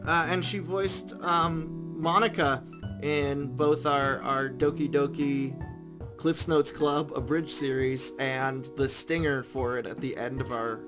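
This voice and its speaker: A man hosting a stream, chatting casually with viewers in a halting, self-interrupting way. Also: mid-range voice. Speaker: stream host.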